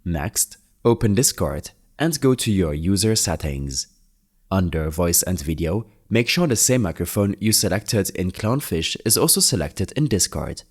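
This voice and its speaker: A man with a deep-set voice hosts a scripted how-to tutorial. He gives instructions in plain wording, one orderly step after another. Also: big pitch swings, unhurried, husky. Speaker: tutorial host.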